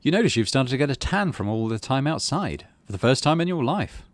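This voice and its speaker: A man's voice: mid-range voice, big pitch swings, brisk. A middle-aged man giving casual game commentary, muttering drily to himself and reading bits of narration aloud. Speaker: gaming channel host.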